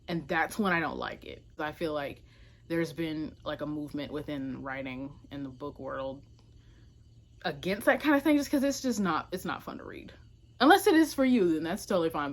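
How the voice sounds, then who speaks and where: A woman talking casually to camera as a book vlogger, informal and emphatic, often correcting herself with little asides. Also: on the low side. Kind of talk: casual chatty talk to camera.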